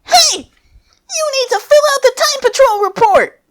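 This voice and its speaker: A woman voicing a small, indignant, self-important goddess of time in a squeaky, bossy voice for a fanfiction reading. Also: expressive, unhurried, a bit bright, exaggerated voice. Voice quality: very high, squeaky. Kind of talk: hobby fanfiction character reading.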